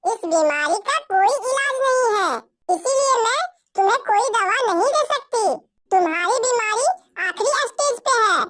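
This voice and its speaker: A woman's voice: very high-pitched, animated, normal speed. A woman voicing a grave female bird doctor in a cartoon, speaking bluntly and solemnly. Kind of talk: Hindi cartoon dialogue.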